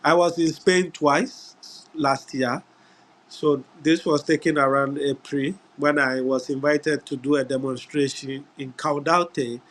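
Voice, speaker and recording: A middle-aged man from Ghana with a Ghanaian English accent, giving an unhurried webinar talk over a video call, often pausing on "uh" and "yeah". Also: on the high side, fairly even intonation, a bit bright. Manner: unhurried, repeats words, frequent "uh" and "yeah". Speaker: guest speaker. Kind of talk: webinar presentation.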